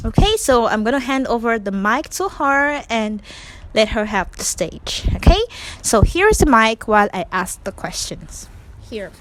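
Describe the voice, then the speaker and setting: A woman's voice: fairly high pitch, very animated, normal speed. A woman hosting a casual interview, chatty and playful, drawing out her words. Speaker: interview host.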